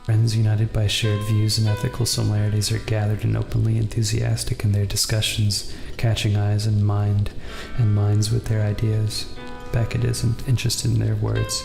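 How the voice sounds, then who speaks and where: A man reading a noir visual novel aloud as narration, in a measured, subdued storytelling way. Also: lowish voice, monotone, creaky, roomy sound. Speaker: video game player reading text aloud. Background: music.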